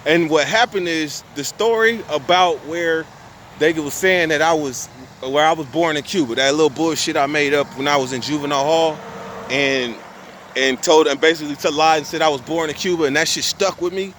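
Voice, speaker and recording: A man's voice: on the high side, normal speed, fairly bright tone, a little hiss or hum. A young man telling a long story to his viewers in casual street slang, cussing and restarting his sentences often. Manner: profane, rambling, street slang; restarts and repeats himself often.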